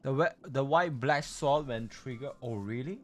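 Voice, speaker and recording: A man chatting casually as he hosts a game livestream, halting and repeating himself, with loose non-native English phrasing. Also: mid-range voice, a little hiss or hum. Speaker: livestreamer hosting a game stream.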